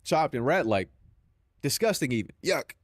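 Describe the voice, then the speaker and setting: A man's voice: medium pitch, big pitch swings, normal speed, no room sound. Southern Californian guy with a dry sound, streaming. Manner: loose, profane banter peppered with "bro". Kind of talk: casual stream chat.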